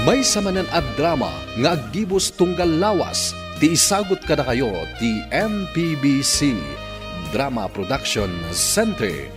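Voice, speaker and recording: A man with an Ilocano accent narrates a radio show's opening in a slow, solemn way. His pitch moves widely. A saxophone plays clearly behind him. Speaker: show intro narrator. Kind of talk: slow dramatic intro narration.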